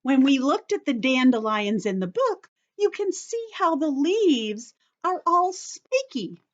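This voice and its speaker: A woman's voice: high voice, big pitch swings, a little slow, highs cut off. A woman teacher demonstrating a craft step by step for young children, speaking simply and instructively and addressing them directly. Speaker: children's story-time teacher showing a craft.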